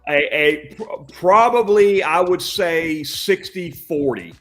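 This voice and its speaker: Booming older man, chatty and loud. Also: slow, faint music behind. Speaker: guest, professional Santa. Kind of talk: casual podcast chat.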